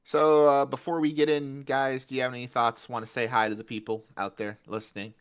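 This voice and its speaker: A man co-hosting a podcast, running through an episode summary in a loose, jokey tone. His pitch sits in a middle range for a man. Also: level tone, fairly quick, clean tone. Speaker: podcast co-host. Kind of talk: casual podcast recap.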